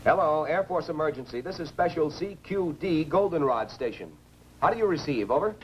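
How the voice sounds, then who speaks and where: A man's voice: on the high side, animated, brisk, highs cut off, natural voice. A man acting a practical, frustrated radio operator in a 1950s science fiction film. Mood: calling out. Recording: noisy old film soundtrack.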